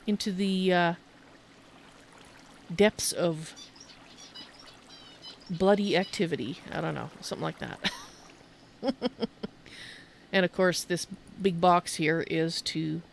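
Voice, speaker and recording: A woman's voice: lowish voice, slow pace, vocal fry, pauses often, a little hiss or hum. A woman streamer giving casual video game commentary, rambling and thinking aloud as she goes.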